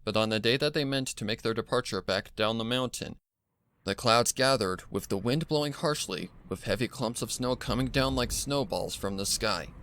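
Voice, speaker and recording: A man's voice: mid-range voice, moderate pace, fairly bright tone, dry recording. A man reading a scripted horror story as a dramatic narrator, with music behind him. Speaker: fan fiction narrator. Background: background music.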